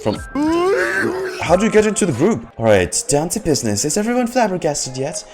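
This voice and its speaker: A woman's voice: low voice, sing-song, fairly quick, a little mellow, faint music behind. A woman streamer giving casual reaction commentary on a game and reading its text aloud.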